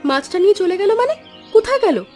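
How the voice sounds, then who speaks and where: A woman playing a landlord's concerned, fair-minded young daughter in an animated children's story, questioning and emphatic. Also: extremely high pitch, fast talker, soft background music. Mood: shocked.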